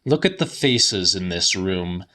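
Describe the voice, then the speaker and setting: Male narrator, dramatic literary audiobook: lowish pitch, unhurried deliberate pace, emphatic and theatrical. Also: expressive, pushed and bright. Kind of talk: dramatic first-person literary reading.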